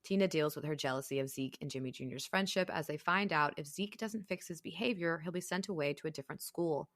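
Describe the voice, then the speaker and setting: A woman's voice: low-pitched, fast, no room sound, clean studio sound. A woman in her thirties with a Southern accent, co-hosting a podcast in chatty, casual talk. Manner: chatty, animated, swears casually. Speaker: podcast co-host. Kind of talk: casual chat.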